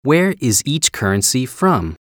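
A woman narrating textbook audio tracks with slow, deliberate announcing. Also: a bass voice, very animated, dry recording, studio quality.

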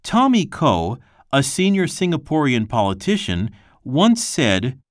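A man reading a textbook passage aloud for a language lesson, slow and careful, with clear pauses between phrases. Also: medium pitch, wildly swooping pitch, dry recording, clean studio sound. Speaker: textbook reader.

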